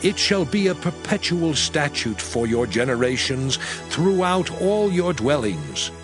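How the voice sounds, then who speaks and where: A man narrating a dramatized scripture reading in a solemn, measured delivery. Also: a little high, sing-song. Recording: music behind the voice. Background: music.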